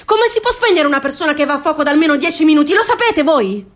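A woman's voice: sits high, very animated, fast. Female stage storyteller. Dramatic, impassioned, indignant delivery with big melodic swings and weighted repetition. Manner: impassioned, emphatic, repeats phrases for weight; indignant rhetorical questions to listeners. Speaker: stage actress performing a monologue. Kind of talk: dramatic theatrical storytelling monologue.

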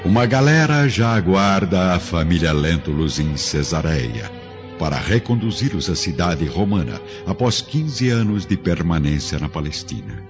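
A male narrator with a very low voice reads a scripted radio drama. His delivery is solemn and literary, with animated intonation. Music plays faintly beneath the dull recording. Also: moderate pace. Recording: muffled audio under music.

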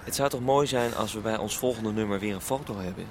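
An actor playing a laid-back but stubborn, eager squatter activist in a radio drama, casual and persistent. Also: male voice, on the low side, fast talker, a little hiss or hum, close to an everyday voice. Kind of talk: naturalistic radio drama dialogue.

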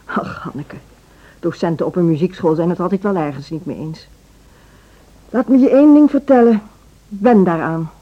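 An actress playing a formal, condescending woman who runs a music school in a radio drama, speaking in a measured, officious way. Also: fairly low pitch, lively intonation, highs cut off. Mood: dismissive, condescending. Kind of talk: Dutch radio drama acting.

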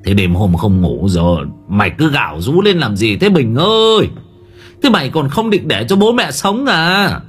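A man's voice: mid-range voice, sing-song, normal speed, breathy edge, faint music behind, pitched above the performer's own voice. A man voicing a hot-tempered father in an audio story, scolding and swearing, thoroughly fed up. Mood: exasperated. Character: exasperated, hot-tempered father cursing his disturbed son.

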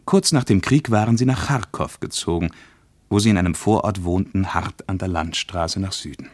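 A male actor playing a reflective traveller who reads his travel diary aloud as scripted radio narration, in a measured, literary manner. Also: low-pitched, no echo.

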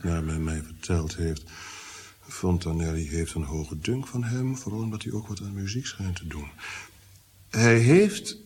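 A brooding, imperious nobleman in a period radio drama. His husky voice runs a little low with lively swings in pitch. He is abrupt and probing, used to being obeyed. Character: melancholic, imperious nobleman, commanding and capricious.

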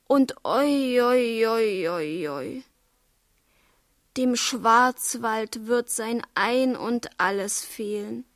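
A woman's voice: a little high, full character voice. An actress playing an impulsive, devoted fifteen-year-old girl in a poetic radio drama, theatrical and fervent. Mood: playful, mimicking. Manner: theatrical, fervent, swinging from hushed to impetuous. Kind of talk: German radio drama acting, poetic verse.

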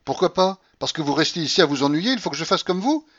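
Guy doing a bossy old russian granny from a novel, high pitched, exclaims everything, swoops around, slightly dull recording. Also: animated, fast talker, a bit bright. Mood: defiant, brusque. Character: imperious, exuberant, eccentric rich old Russian grandmother.

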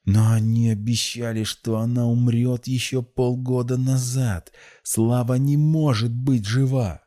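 Man voicing an impassioned young girl, pitched way up. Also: steady tone, measured pace, dark tone, close to an everyday voice. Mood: furious. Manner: shrill, impassioned exclamations. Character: frantic lovesick young girl, jealous and defiant.

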